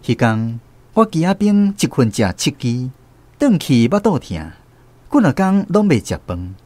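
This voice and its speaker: Animated Taiwanese storyteller, higher-pitched man. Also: measured pace. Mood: wry recounting. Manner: animated first-person storytelling. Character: grown man fondly recounting his small-boy adventures. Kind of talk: scripted radio drama narration. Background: plucked guitar music.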